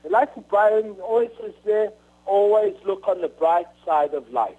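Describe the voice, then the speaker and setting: A middle-aged man with a South African accent answering interview questions in a halting way, full of uhs and restarts. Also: high voice. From Rhodesia, now Zimbabwe. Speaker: author guest. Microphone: phone. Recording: tinny phone line.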